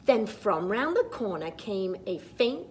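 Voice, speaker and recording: A woman storytime teacher reading a picture book aloud to young children, expressive and sing-song, with chatty asides. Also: mid-range voice, unhurried, a little hiss or hum.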